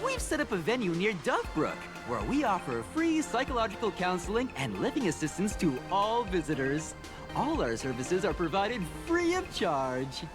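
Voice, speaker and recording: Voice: mid-range voice, wildly swooping pitch, moderate pace, over music. An actor playing an unctuous, soothing and theatrical cult recruiter in a video game. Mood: inviting, soothing.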